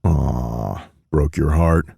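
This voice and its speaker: A man's voice: very deep voice, extremely slow, vocal fry, warm tone, husky, no room sound, clean studio sound, exaggerated voice. A man voicing a blunt, self-deprecating young roommate. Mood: dry.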